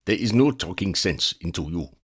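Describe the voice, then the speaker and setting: A man voicing a stern senior male wizard minister in an audiobook reading, gruff and growling. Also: low voice, expressive, moderate pace.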